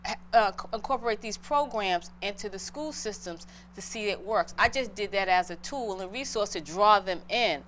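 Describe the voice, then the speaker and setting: Middle-aged boston woman, interview guest, earnest heartfelt storytelling, mid pitch, faint noise behind. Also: lively intonation, normal speed, fairly bright tone. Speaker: guest entrepreneur.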